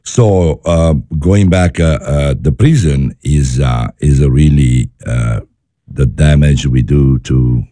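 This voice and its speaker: A man from Italy with an Italian accent, a chef talking as a studio guest in halting English, full of fillers and restarts. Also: rumbling low, dark tone, no echo. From Italy, born in Naples, raised in Milan. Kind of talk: studio interview.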